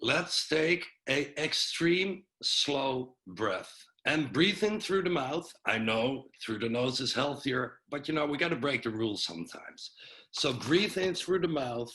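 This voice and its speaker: A man with a Dutch accent, a breathwork and cold exposure coach, speaking on a video call webinar in a friendly, self-mocking way and guiding listeners through slow breaths. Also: mid-range voice, expressive, a bit bright, low-quality recording. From Netherlands. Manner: friendly, laughs at himself, gives slow breathing cues. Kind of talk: guided breathing instruction in a webinar.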